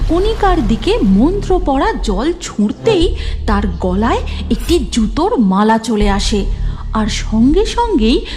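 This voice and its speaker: A woman's voice: on the high side, very animated, slow pace, a little mellow, faint music behind. A woman narrating a cartoon story from a script.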